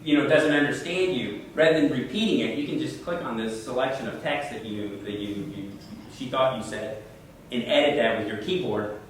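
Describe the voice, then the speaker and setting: An echoey, roomy recording of a man explaining tech tips to a group, caught from well back with a faint hiss underneath. He talks in a loose, halting way and doubles up words as he thinks. His pitch sits right in the middle for a man. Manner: conversational, halting with repeated words. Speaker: presenter at a computer user group. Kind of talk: informal tech tips talk answering questions. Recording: echoey room, mic far off.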